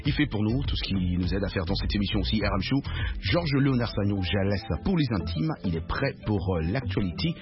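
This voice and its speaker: A man's voice: on the low side, animated, brisk. A man hosting a radio show, chatting jovially with guests and laughing often. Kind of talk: casual radio chat and interview. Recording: dull radio broadcast with music behind.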